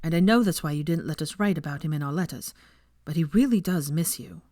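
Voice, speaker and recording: A woman voicing a gentle, earnest young man, a concerned friend coaxing someone in a story reading. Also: low-pitched, fairly quick, no room sound, studio quality, lightly acted. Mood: earnest, pleading. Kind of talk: fan fiction audiobook character voice.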